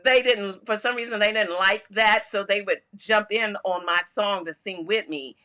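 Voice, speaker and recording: An older woman co-hosting a casual chat, telling stories in a loud voice and laughing often. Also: medium pitch, moderate pace. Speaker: podcast co-host.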